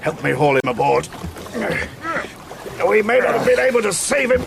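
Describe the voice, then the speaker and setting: An actor playing a determined, decent officer commanding a rescue boat in a radio drama, urgent and authoritative. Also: male voice, high-pitched, very animated, normal speed, creaky, a loud crowd, full character voice. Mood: urgent, resolute. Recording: noisy boat and water ambience.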